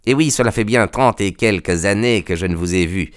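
A man's voice: lowish voice, brisk, no echo, lightly acted. A sly old seaman, voiced by a male narrator, servile on the surface with menace underneath. The voice is cleanly recorded and dry, in an audiobook style, and insinuates more than it says. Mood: sly, bitter. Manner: obsequious, faintly menacing.

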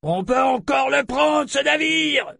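A man voicing a big pirate boarding chief who shouts orders to rally his crew. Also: very high-pitched, animated, slow pace, gravelly, no room sound, studio quality, pitched above the performer's own voice, full character voice. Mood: shouting.

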